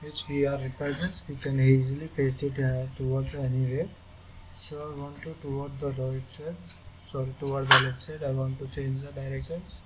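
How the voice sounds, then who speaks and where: A man with an Indian English accent walking through a software tutorial, speaking haltingly as he clicks through steps. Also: medium pitch, flat delivery. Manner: halting, thinking aloud as he clicks. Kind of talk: screen-recorded software tutorial. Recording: noisy screen recording.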